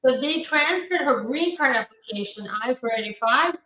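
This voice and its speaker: A woman attorney, originally from Ukraine, hosting a live stream and reading viewers' questions aloud. She answers each one briskly and matter-of-factly, with frequent fillers. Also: high-pitched, expressive, bright, forceful tone. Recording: roomy, distant, dull live-stream audio.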